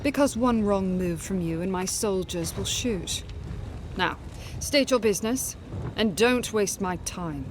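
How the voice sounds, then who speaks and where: A woman's voice: mid-range voice, expressive, unhurried, natural voice. Woman playing a stern army commander in a video game, clipped, defiant, noisy background. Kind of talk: scripted video game dialogue.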